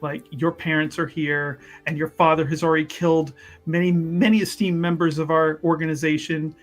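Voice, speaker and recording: A man's voice: a little high, level tone, normal speed, soft background music, pitched below the performer's own voice, natural voice. A man playing a panicked, lying young schemer, urgently and insistently raising the alarm in a tabletop roleplaying game. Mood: urgent, hushed.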